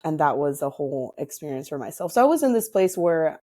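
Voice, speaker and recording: A woman's voice: low voice, big pitch swings, brisk, dark tone, dry recording, studio quality. A woman from Hawaii with an American accent, chatting as an interview guest and retelling personal stories with quoted dialogue. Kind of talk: casual personal storytelling in conversation.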